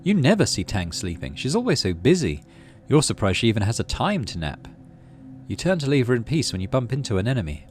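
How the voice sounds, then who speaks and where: A man's voice: medium pitch, big pitch swings, fairly quick, soft background music. A man casually hosting a game playthrough, reading narration aloud and muttering his thinking as he plays. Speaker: gaming channel host.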